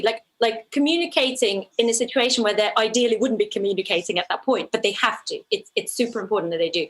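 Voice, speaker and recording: A woman's voice: on the high side, fairly bright tone, low-quality recording. A woman from Ukraine speaking as an interview guest, a documentary filmmaker who answers conversationally and thoughtfully, often restarting her phrases.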